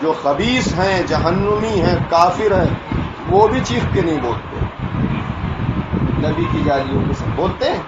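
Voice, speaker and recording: A man's voice: high-pitched, expressive, a little slow. A man preaching as an Islamic cleric, explaining a ruling informally and often checking that listeners understand. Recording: noisy, echoey, muffled recording with traffic.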